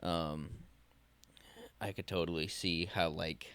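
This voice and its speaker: Casting: low-voiced, unhurried young man, podcast co-host, halting and searching for words. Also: fairly even intonation, a bit bright, clear, resonant tone, no room sound. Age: 20s. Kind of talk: casual podcast conversation.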